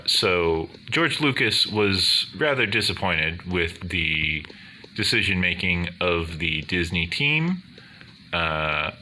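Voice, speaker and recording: A man with a very low, booming voice gives casual gameplay commentary. He speaks slowly in deliberate, formal phrasing, with a sharp, forceful tone. Faint noise sits behind him. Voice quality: deep, booming bass voice. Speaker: gaming video host.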